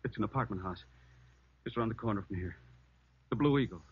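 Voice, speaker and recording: An actor playing a shifty small-time crook in 1950s radio drama, defensive and streetwise, evasive and scared under questioning. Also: male voice, lowish voice, quick pace, over loud music, close to an everyday voice. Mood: frightened, pleading. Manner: defensive, slangy streetwise talk. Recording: muffled old radio recording.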